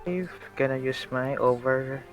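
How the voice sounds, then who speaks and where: A man playing a tabletop game on stream, relaxed and giving short, easygoing replies. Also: medium pitch, a little slow, soft background music. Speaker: player. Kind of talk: casual tabletop game chat.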